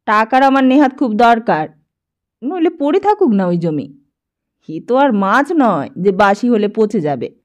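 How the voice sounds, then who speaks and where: A woman's voice: on the high side, very animated, unhurried. A woman narrator voicing a proud, stubborn male landowner in an audiobook, with an emphatic, dismissive manner. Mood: scornful, confident. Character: proud, stubborn long-settled male landowner, scornful of buyers.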